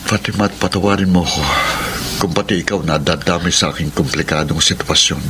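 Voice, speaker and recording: An actor as a low-voiced troubled Filipino young lover. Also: male voice, brisk, noisy background, lightly acted. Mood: worried. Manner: melodramatic, earnest. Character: troubled young male lover, earnest and defiant. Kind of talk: Filipino radio drama acting and letter narration. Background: dramatic background music.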